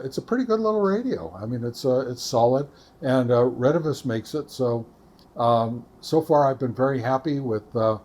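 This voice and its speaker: A man giving a casual, unscripted product review as a hobbyist, speaking haltingly as he thinks aloud. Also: medium pitch, a little mellow. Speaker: YouTube radio hobbyist reviewer.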